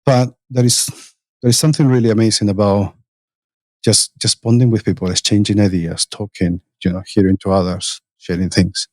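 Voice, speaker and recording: A man with a Spanish accent speaks in a low voice as a podcast guest. He thinks aloud, restarting phrases and dropping fillers as he goes. The sound is close and dry. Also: fairly even intonation, normal speed, a little mellow, no echo. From Spain. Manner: thoughtful, many restarts and fillers. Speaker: guest, conference organizer and agile coach. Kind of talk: conversational interview. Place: conference venue.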